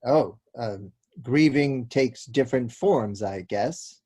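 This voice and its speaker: A man's voice: medium pitch, slow, warm tone, slightly rough. A man playing an earnest, slightly awkward police detective in a comic play read aloud. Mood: apologetic. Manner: formal, apologetic. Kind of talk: table-read stage comedy acting.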